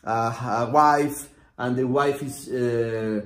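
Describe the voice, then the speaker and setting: A man in his forties, a native Spanish speaker, explaining a consulting method at a whiteboard with many pauses and restarts. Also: mid-range voice, steady tone, fairly bright tone, a little hiss or hum. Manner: halting, many fillers and restarts, acts out client dialogue. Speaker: systemic consultant teaching a method. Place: small room with whiteboard, indoors. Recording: echoey room.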